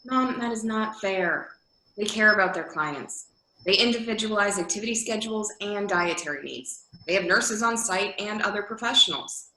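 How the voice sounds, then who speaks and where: A woman's voice: mid-range voice, moderate pace, sharp tone, a little hiss or hum, lightly acted. A woman acting a worried, practical adult daughter in a readers theater drama, pleading and insisting in exasperation. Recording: roomy, echoey video call audio.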